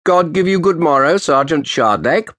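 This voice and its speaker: A man putting on a sharp-voiced, stern old man. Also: fairly high pitch, animated, normal speed, no echo, studio quality, pitched above the performer's own voice. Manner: stern, clipped, sardonic. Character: stern sardonic old man past sixty.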